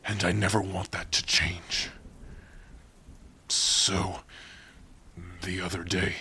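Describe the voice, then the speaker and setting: A man playing a big, bashful orc in love, speaking haltingly and intimately in an ASMR fantasy roleplay. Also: low-pitched, level tone, breathy edge, exaggerated voice. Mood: nervous, tender. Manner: hesitant, halting, soft-spoken, intimate.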